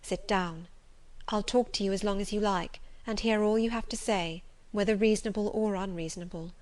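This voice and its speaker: A resolute young governess speaks softly and steadily, as a woman reads her lines. Her words are measured and firm in an audiobook telling. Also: mid-range voice, close to an everyday voice. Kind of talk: audiobook reading.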